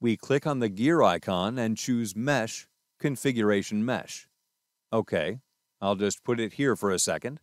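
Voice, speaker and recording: A man with a fairly low voice narrates a scripted product tutorial in a close, dry recording. He is methodical and instructional, taking the listener through each step in order. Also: measured pace, dry recording.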